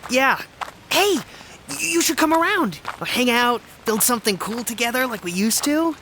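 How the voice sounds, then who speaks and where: A man's voice: extremely high pitch, lively intonation, moderate pace, pushed and bright, slight background noise, heavily acted, low-quality recording. An actor playing a breezy but guarded young man with a casual, wry manner in an audio drama. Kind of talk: naturalistic audio drama acting.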